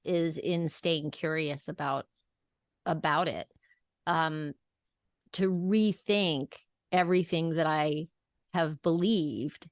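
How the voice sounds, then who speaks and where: A woman hosting a podcast, speaking thoughtfully and haltingly with many fillers. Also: sits low, no room sound, clean studio sound. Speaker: podcast host. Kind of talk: casual podcast conversation.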